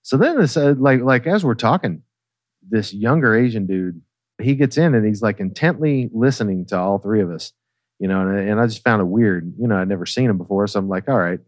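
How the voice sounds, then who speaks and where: A man with a fairly low voice tells a funny personal story in a loose, chatty way. He swears freely and checks in as he goes. The sound is dry and close. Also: lively intonation, a little fast, no echo. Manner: swearing, conversational, checks in with "right?". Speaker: podcast guest telling a personal story. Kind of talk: casual comedic storytelling.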